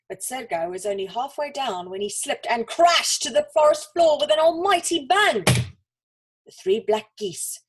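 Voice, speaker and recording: A woman narrates a children's story in a fairly high, slightly breathy, husky voice. Her pitch swoops widely, and she leans on words with dramatic pauses and emphasis. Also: poor audio.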